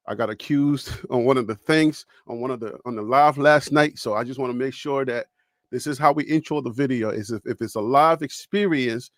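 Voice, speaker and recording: A man's voice: medium pitch, a little fast, dry recording. A man with a Liberian accent hosting a live sports talk stream from his home studio, talking straight to his viewers in a loose storytelling way. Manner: storytelling, chatty with audience. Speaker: host.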